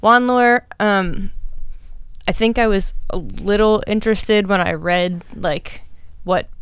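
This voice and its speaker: Clear-voiced woman of thirty, candid chat. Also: medium pitch, no room sound. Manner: candid, emphatic, quick asides. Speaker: podcast co-host. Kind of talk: casual chat.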